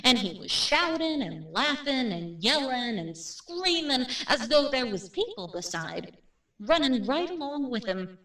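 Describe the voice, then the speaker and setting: A woman playing a brisk, matter-of-fact real estate agent in a radio drama, plain-spoken and without superstition. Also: high voice, very animated, bright, forceful tone, distant mic, exaggerated voice. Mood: matter-of-fact, grim. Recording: roomy, off-mic drama recording.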